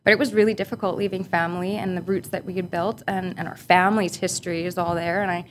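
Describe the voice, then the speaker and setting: A woman from Barbados, a guest policy analyst, talking in a relaxed, reflective way with many fillers and easy laughter in a boardroom interview. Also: lowish voice, quick pace, a little hiss or hum. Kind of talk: casual interview conversation. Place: office boardroom.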